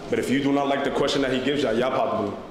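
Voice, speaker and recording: A man's voice: medium pitch, rapid-fire, a loud crowd. Young male host in an echoey studio. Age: young adult. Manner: relaxed slangy prompting, repeats himself. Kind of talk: casual dating game hosting. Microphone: handheld mic. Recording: echoey studio with loud noise.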